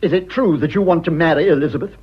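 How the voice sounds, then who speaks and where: An actor playing a wronged upper-class English husband in a British radio drama, clipped, haughty and indignant. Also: male voice, a little high, fast, slightly rough, lo-fi sound. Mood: cold anger.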